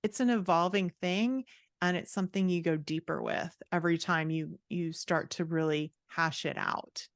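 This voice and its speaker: A woman's voice: fairly low pitch, steady tone, normal speed, dry recording, studio quality. A woman speaking as a guest writing coach, explaining her ideas in a conversational, encouraging and reflective way. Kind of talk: conversational advice in a podcast interview.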